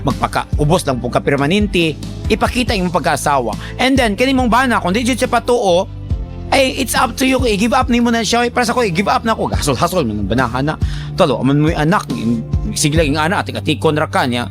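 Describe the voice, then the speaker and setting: A man's voice: sits high, fairly quick, over music. A man with a Filipino Cebuano accent hosting a radio advice segment, talking in a colloquial, teasing, conversational way to a letter sender. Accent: Filipino, Cebuano Bisaya. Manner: animated, colloquial, teasing, acts out imagined remarks.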